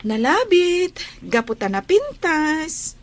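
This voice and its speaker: A woman acts a kind young village woman with an Ilocano accent in an audio drama. Her voice sits very high and she takes her words slowly, sounding modest and friendly. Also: big pitch swings, a little hiss or hum, exaggerated voice. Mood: matter-of-fact. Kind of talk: amateur Ilocano radio-style comedy drama acting.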